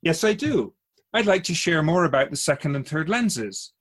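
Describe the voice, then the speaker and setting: An actor playing a wise, otherworldly male keeper of souls in a radio drama interview, gently teaching like an outsider to humankind, with a husky voice. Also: a little high, big pitch swings, normal speed. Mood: gracious, curious.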